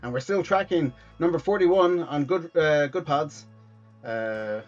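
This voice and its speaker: A man's voice: on the high side, a little slow, muffled recording, soft background music. A man in his thirties with an Irish accent, a comedian chatting as a podcast guest, rambling with many restarts. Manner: rambling, many restarts, acts out quoted voices. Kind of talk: casual interview chat.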